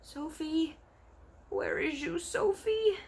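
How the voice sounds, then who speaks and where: A woman's voice: very high voice, takes it slowly, vocal fry, darkish tone, pitched above the performer's own voice, heavily acted. A woman voicing a kindly, innocent male giant in a storybook, earnest and dignified with muddled grammar. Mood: anxious, hushed.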